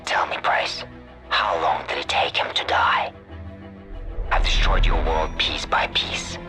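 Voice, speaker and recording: A menacing villain with an extremely deep voice. He taunts coldly and sneers through each line, in the manner of a game cutscene. Music plays behind. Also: fairly even intonation. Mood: cold taunting. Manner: slow, sneering, cold. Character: menacing, taunting Russian terrorist villain, man. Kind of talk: dramatic video game acting.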